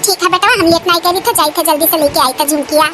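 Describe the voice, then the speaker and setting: A woman's voice: very high-pitched, fast, bright, forceful tone. A woman voicing plain-spoken village family women in a cartoon comedy, with a rustic Awadhi accent. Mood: chiding. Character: high-voiced village women of a family cartoon: wife, mother, sister. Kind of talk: rural cartoon comedy dialogue. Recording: clearly noisy with outdoor ambience.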